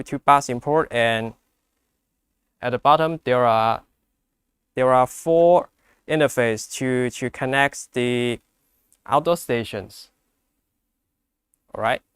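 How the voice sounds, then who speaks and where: A man who speaks English as a second language gives an unscripted product demonstration, explaining step by step in a halting way. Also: medium pitch, a bit bright, smooth, dry recording, clean studio sound. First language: not English. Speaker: product presenter.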